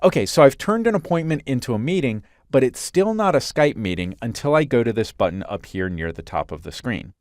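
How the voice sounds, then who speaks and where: A man working as an instructor, narrating a software screencast in a clear, step-by-step explanatory way. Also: medium pitch, very animated, brisk, few pauses, no echo, studio quality.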